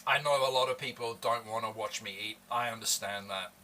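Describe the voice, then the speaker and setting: A man, his voice bright and forceful, sits a little back from the microphone. He chats loosely and teasingly with his stream audience while eating. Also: medium pitch, a little fast, recorded from a distance, slight background noise, poor audio. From born in Hong Kong, raised in Australia. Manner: teasing, joking with chat. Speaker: livestreamer. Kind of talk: casual live-stream chat while eating.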